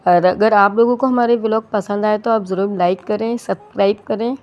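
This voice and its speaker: A woman's voice: mid-range voice, fairly even intonation, fairly quick, muffled recording. A woman from Pakistan with a Pakistani Urdu accent, talking casually over her vlog footage in a chatty, rambling way with many restarts. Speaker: vlogger.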